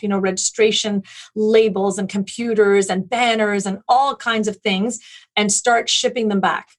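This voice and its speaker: A woman speaking as an interview guest, recounting things in an articulate, detailed, conversational way. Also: mid-range voice. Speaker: interview guest, event planning business owner.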